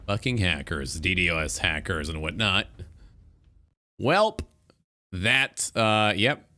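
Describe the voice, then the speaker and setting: Animated male host, bright voice. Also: low voice, slow pace. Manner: rambling, swearing, echoing what he hears. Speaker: talk show host. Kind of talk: casual streamer commentary.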